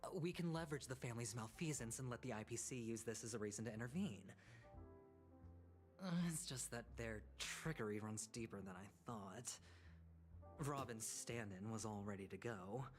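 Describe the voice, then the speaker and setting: A suave gambler from a video game, acted by a man, smooth and sly. He schemes with playful, theatrical flair over clearly audible background music. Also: mid-range voice, moderate pace, natural voice. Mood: calculating.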